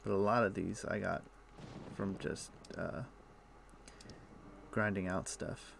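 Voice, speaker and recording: A man streaming a video game, explaining things in a relaxed, meandering way with frequent pauses. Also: deep voice, vocal fry, background noise. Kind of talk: casual gameplay chat.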